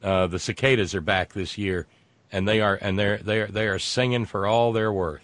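A man's voice: on the low side, fairly even intonation, normal speed, a bit bright, dry recording. A man hosting a casual live show, rambling with many fillers and restarts. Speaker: host. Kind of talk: casual livestream talk.

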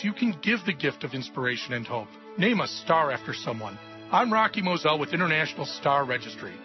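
A man reading a scripted radio ad in a soft, earnest tone. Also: sits high. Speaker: ad announcer. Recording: dull band-limited radio audio over loud music.

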